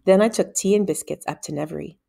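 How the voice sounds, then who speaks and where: Woman reading a storybook, lowish voice. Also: fast, vocal fry, mellow. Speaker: read-aloud narrator. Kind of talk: expressive storybook read-aloud.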